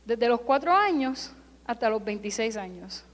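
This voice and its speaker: A woman's voice: a little high, lively intonation, moderate pace. A woman who grew up in California, preaching a Sunday sermon in a church with emphatic, repeated parallel phrases. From California, United States. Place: church sanctuary. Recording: long hall reverb.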